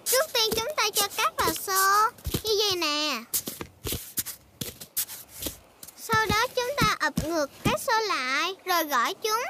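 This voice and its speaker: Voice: very high voice, animated, normal speed, very noisy. A performer voicing a cheerful, bossy little girl piglet in a children's cartoon dub, with music underneath. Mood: instructive.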